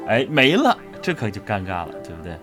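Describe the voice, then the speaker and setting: A man's voice: lowish voice, huge pitch swings, moderate pace, fairly bright tone, soft background music. A man co-hosting a casual livestream with playful, teasing banter. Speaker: co-host of a livestream for an audio drama.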